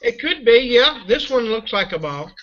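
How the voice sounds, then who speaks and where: A man with a high, bright voice teases calmly in an online chat. His pitch moves a lot, and the call audio is dull and lo-fi. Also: normal speed. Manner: calm, amused, teasing.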